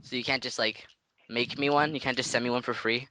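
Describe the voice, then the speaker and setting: Bright-voiced man, naive prank caller. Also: medium pitch, fairly even intonation, brisk, slight background noise, rough recording. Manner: persistent, feigned naive questioning. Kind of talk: casual phone prank chat.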